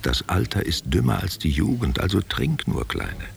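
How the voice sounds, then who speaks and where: Very deep, gravelly old stage actor. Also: male voice, huge pitch swings, full character voice. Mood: wistful, flirtatious. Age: older. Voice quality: gravelly, husky. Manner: musing, halting, poetic. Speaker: actor in a radio play. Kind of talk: literary radio play monologue, intimate and theatrical.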